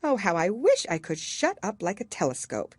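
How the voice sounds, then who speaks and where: A curious little girl from a storybook, voiced by a woman, lifts her voice high as she talks to herself. She is polite and thoughtful, with lively swings in pitch and a dry, close sound. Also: moderate pace, breathy edge, no room sound. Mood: wistful. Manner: wondering, talking to herself.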